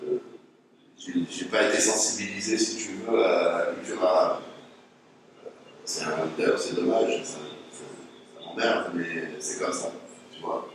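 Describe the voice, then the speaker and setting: A man from Tunisia, an artist, talking casually and frankly, with many restarts and hesitations. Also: sits low. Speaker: artist being interviewed. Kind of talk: casual conversation. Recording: echoey, distant mic, noisy background.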